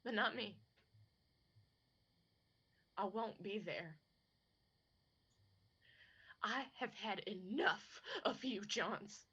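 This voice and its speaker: A woman's voice: medium pitch, extremely slow, long pauses, pushed and bright, husky, muffled recording, heavily acted. An actress in a radio drama playing a wounded, bitter young woman with an Appalachian accent, speaking with anguished, folksy emphasis. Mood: bitter grief. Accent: Appalachian American.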